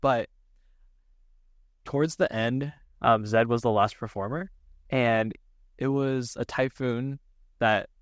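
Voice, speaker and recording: A man's voice: medium pitch, fairly even intonation, slow, pauses often, dry recording, clean studio sound. A man hosting a casual conversation, talking in meandering, thoughtful phrases. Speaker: podcast host. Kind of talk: casual interview chat.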